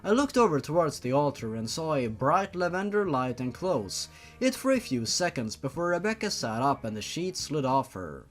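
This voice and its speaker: Male fan fiction narrator: dramatic read, very varied intonation, faint music underneath. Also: a little high.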